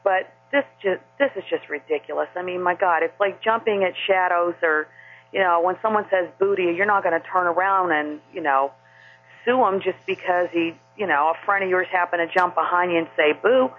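A woman's voice: fairly low pitch, fairly quick. A woman calling in to a talk radio show, rambling and indignant with many fillers. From Maine. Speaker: caller. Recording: phone line, husky.

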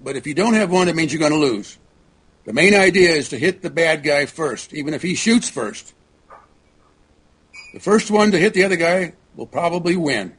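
A man speaking as a talk show guest, halting and repeating words, often clearing his throat. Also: fairly high pitch, expressive, a bit bright, rough recording.

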